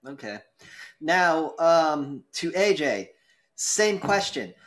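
Halting male podcast moderator. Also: on the high side, very animated. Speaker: host and debate moderator. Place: indoor room.

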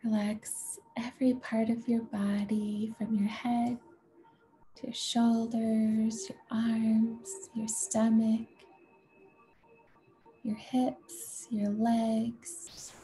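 A woman leading a guided meditation in a gentle, soothing, unhurried way, with a soft, breathy voice that often nears a whisper. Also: fairly high pitch, little pitch movement, low-quality recording. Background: music.

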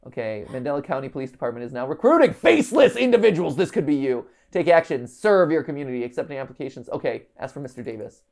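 Creaky-voiced man thinking aloud. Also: on the high side, very animated, quick pace, a little mellow. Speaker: livestream host. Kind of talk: casual live puzzle-solving commentary, thinking aloud. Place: indoor room, livestream set.

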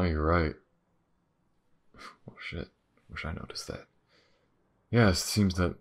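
Man acting a very deep, gravelly, weary detective. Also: fairly bright tone, heavily acted. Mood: pensive. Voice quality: low, gravelly, husky. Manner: halting, muttering, sighing, informal. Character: gruff, weary, aloof male detective in charge. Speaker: voice actor in an audio roleplay. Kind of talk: intimate audio roleplay acting, addressed to the listener.

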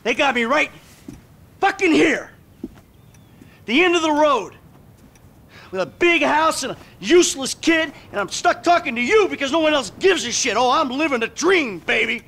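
An actor playing a bitter, sarcastic middle-aged American ex-bank robber in a video game cutscene, profane and exasperated. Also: male voice, very high voice, expressive, normal speed, pauses often, fairly bright tone, full character voice. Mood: angry, bitter. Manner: sardonic, profane, exasperated delivery.

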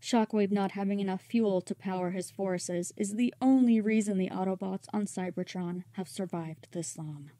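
A woman's voice: medium pitch, moderate pace, few pauses, dark tone, no echo, lightly acted. A woman playing a battle-hardened, bitter robot warrior, stern and weary, in a dramatic comic dub. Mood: grim, matter-of-fact.

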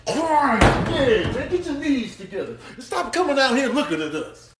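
A man's voice: extremely high pitch, sing-song, moderate pace, very noisy, heavily acted. A man voicing a playful, exasperated animal in a comedic voiceover, streetwise and repetitive. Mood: teasing.

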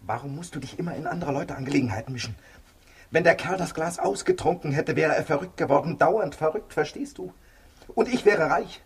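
Radio-play villain: bitter, grasping, very raspy, slightly high voice, ranting. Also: male voice, expressive, a little fast. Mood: bitter, agitated. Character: exposed criminal brother, bitter, greedy, ranting. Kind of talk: 1960s German radio drama acting.

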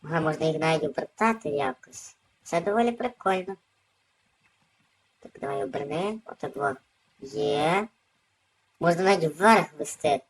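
A woman's voice: on the low side, moderate pace, pauses often, low-quality recording. A woman hosting a gaming video with casual, off-the-cuff gameplay commentary.

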